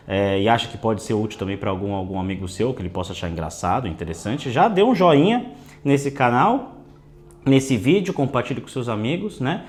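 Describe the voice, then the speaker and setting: A man hosting a casual video lesson as a tarot teacher. His voice is a little off from dry air, and he keeps tagging his sentences with a questioning "né". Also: medium pitch. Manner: chatty, tags many phrases with a questioning "né". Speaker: tarot teacher and channel host.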